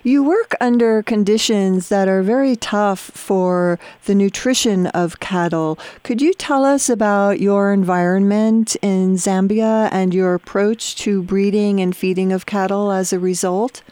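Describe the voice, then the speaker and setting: A woman's voice: medium pitch, unhurried, few pauses, dark tone, dry recording. A woman hosting an interview, reading scripted introductions and asking curious questions. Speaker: host.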